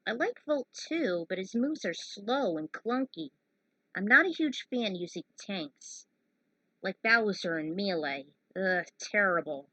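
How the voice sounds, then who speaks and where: A woman's voice: medium pitch, expressive, a little slow, a bit bright, no room sound, low-quality recording. A woman in her thirties giving casual, opinionated commentary on her own fan fiction as author and narrator. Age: 30s.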